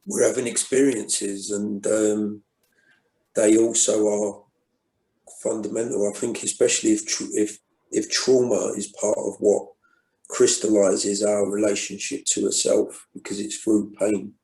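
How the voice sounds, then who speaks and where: Male guest, low warm voice, muses slowly with lots of restarts, echoey room. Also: flat delivery. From England. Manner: thoughtful, many restarts and fillers. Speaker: guest poet. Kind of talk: reflective conversation.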